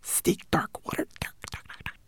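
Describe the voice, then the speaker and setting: A man's voice: fairly low pitch, animated, brisk, gravelly, no echo, studio quality. A man voicing a timid, anxious little male homunculus with a meek, hesitant manner. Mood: whispering.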